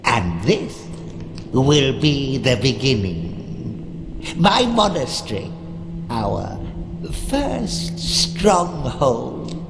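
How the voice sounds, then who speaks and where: An actor playing a villainous, megalomaniac bishop in a video game, fanatical and lustful, with theatrical, menacing delivery. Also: male voice, a little high, vocal fry, full character voice. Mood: gloating. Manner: theatrical, menacing, drawn-out delivery with sudden outbursts. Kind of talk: video game cutscene acting. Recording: noisy, echoing game cutscene audio.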